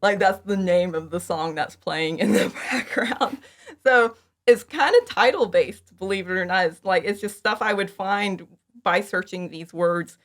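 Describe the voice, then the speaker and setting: A woman speaking as a guest in a casual interview, giggly, with animated asides and lots of "like". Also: mid-range voice, measured pace. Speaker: podcast guest, fan audio drama creator.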